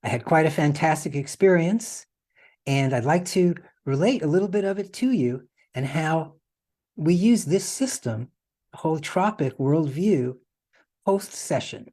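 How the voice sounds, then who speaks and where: A man's voice: on the high side, lively intonation, unhurried, mellow. A man hosting a podcast, explaining things in a casual, conversational way. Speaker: podcast host.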